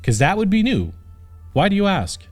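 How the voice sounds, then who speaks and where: A man's voice: high voice, huge pitch swings, moderate pace, faint music behind, voice pushed up. A man voicing a cocky, flippant, bumbling knight with a breezy, wisecracking manner in a comic fantasy audiobook. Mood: joking.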